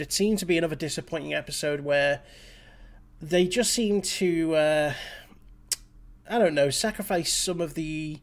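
This is a young man talking straight to camera in a small room, his voice a touch scratchy from the sniffles. He sits slightly higher than most men and is frank and opinionated, with casual fillers, picked up by a headset mic. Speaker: reaction channel host. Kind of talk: casual reaction commentary. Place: small home room, indoors.

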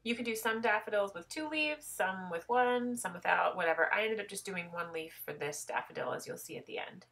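A woman's voice: medium pitch, fairly quick, creaky, hardly any pauses, a bit bright, faint music behind. A woman with a head cold explaining a craft project step by step in a chatty, self-deprecating tutorial voice.

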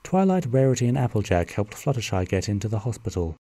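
A narrator reading a fan-made cartoon radio play in plain, even storytelling. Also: mid-range voice, fast talker, dry recording.

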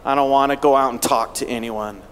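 A man's voice: medium pitch, fairly even intonation, brisk, a bit bright, a little hiss or hum. A middle-aged man giving a motivational keynote speech on a large arena stage into a handheld microphone, rallying the crowd with rhetorical questions. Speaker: keynote speaker. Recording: long arena reverb.

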